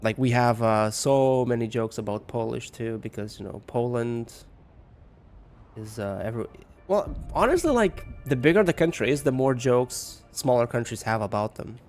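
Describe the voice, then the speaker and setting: A man's voice: medium pitch, expressive, moderate pace, darkish tone. A man from Lithuania with a Lithuanian accent, a game streamer giving casual, rambling and somewhat hesitant commentary and chat. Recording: music behind the voice. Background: music.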